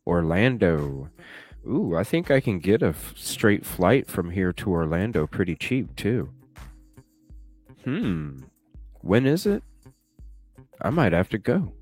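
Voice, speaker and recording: Stuffy-nosed low-voiced male streamer. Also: very animated, slow pace, pauses often, a little mellow, slightly rough, faint music behind. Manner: chatty, thinks aloud, swears casually. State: stuffy nose. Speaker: live streamer. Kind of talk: casual chat reacting to a video. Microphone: desk microphone with webcam.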